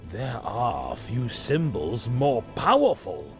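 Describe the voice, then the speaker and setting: A weighty church orator acted by a man makes proclamations in an audio drama. The delivery is very drawn out and creaky, with big swings in pitch. He sounds solemn and ceremonial. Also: wildly swooping pitch, slow, heavily acted. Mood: grim. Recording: music behind the voice.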